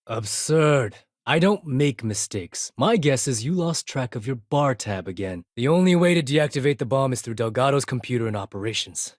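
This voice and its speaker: A man's voice: medium pitch, no echo. A man voicing an arrogant space pirate accountant and hacker for a video game, talking with dry, condescending sarcasm in clipped phrases. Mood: curt, dismissive. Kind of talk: scripted video game character dialogue.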